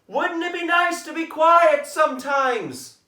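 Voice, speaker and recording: A storybook reader voicing a trio of noisy, eager foxes in a high voice that keeps dropping to a whisper. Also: expressive, slow, bright, forceful tone, full character voice. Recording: roomy echo, voice far from mic.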